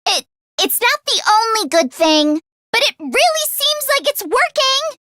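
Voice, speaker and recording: An excitable teenage girl inventor from an anime-style video game. Her voice is very high and sharply bright, with a close, dry sound. She is earnest and expressive, easily flustered. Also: unhurried, dry recording, full character voice. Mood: surprised, brisk. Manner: expressive, swinging from deadpan grumbling to frantic outbursts.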